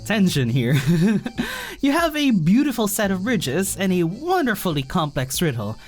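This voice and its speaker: A young traveler, speaking in a mid-range, androgynous voice with lively rises and falls. The delivery is polite, hesitant and placating, acted for a fiction podcast with music behind it. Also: medium pitch, a little slow. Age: young adult. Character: polite, nervous young human traveler, smooth-talking negotiator. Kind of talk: audio fiction podcast acting.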